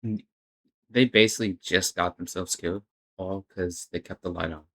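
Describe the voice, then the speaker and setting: Low-voiced man reacting uneasily. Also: fairly even intonation, moderate pace, fairly bright tone. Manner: hesitant, stammering and uneasy. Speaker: reaction video host. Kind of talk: casual reaction commentary.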